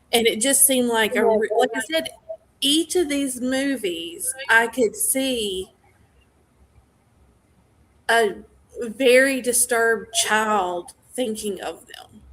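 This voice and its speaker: A woman's voice: medium pitch, fairly bright tone, rough recording. A woman co-hosting a review show, discussing films in a casual, conversational style. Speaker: film review show co-host.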